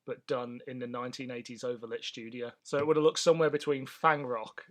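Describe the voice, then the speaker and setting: A man's voice: mid-range voice, level tone, a little fast. A man chatting casually as a podcast co-host, with a wry, opinionated way of talking. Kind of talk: casual chat.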